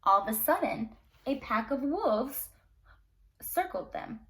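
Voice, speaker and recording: Unhurried, high-voiced woman storyteller. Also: poor audio. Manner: expressive, dramatic storytelling. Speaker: storyteller, teacher. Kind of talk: read-aloud children's fairy tale with casual asides.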